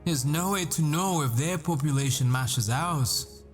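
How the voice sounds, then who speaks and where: A man playing a troubled boyfriend, a professor and assassin, in a halting, stammering audio roleplay. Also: on the high side, faint music behind, natural voice. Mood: solemn, wistful. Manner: halting, stammering, repeats himself. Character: desperate boyfriend, dazed math professor and assassin. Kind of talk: dramatic audio roleplay acting.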